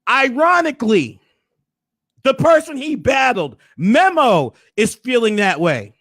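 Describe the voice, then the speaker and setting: A hoarse man hosting a casual recap, hyping things up with preacher-like flourishes. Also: very high-pitched, very animated, unhurried, a bit bright, no room sound, clean studio sound. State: hoarse, losing his voice. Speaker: host.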